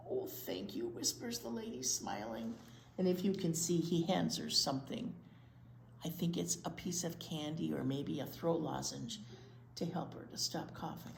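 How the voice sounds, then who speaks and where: An American woman reading aloud at a storytime for young children, expressive, with drawn-out words and chatty asides. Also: low-pitched, vocal fry. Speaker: children's librarian and storytime reader. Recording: roomy echo, faint background noise.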